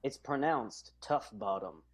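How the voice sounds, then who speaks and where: An actor playing a proud, reassuring and slightly pedantic strongman, delivered deadpan in an improvised comic radio soap. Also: on the low side, takes it slowly, breathy edge, exaggerated voice.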